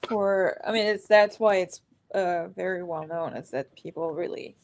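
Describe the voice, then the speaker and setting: Rambling woman, sniffly from allergies. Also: fairly low pitch. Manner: halting and rambling, many fillers and restarts, trailing off. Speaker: co-host of a reading sprint stream. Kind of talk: casual chat.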